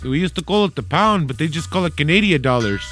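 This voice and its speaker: A man's voice: on the high side, lively intonation, quick pace, fairly bright tone, soft background music. A man in casual, crude, joking chat about toy collecting, often tacking on a mock "eh". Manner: joking, crude, puts on a Canadian "eh".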